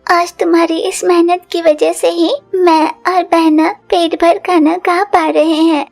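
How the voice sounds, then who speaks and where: A woman voicing a very high, slow little bird girl. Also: gravelly, exaggerated voice. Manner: pleading, complaining. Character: young bird daughter, poor and cold.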